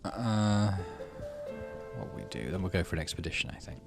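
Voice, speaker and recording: A man with a fairly low, animated voice hosts a game playthrough. He moves between reading story text and murmuring his choices to himself, with faint music behind him. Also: normal speed, creaky. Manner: thinks aloud, murmurs to himself. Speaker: gaming channel host. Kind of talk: reading game narration aloud, casual musing while playing.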